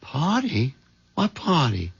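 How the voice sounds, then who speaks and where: A man's voice: a little high, wildly swooping pitch, takes it slowly, creaky, breathy edge, full character voice. An actor playing a meek, henpecked middle-aged office man in a 1970s radio drama, hesitant and stammering. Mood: bewildered. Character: meek, henpecked married office man, flustered natural-born loser. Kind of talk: 1970s radio drama acting with first-person narration. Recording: dull vintage radio recording, music behind.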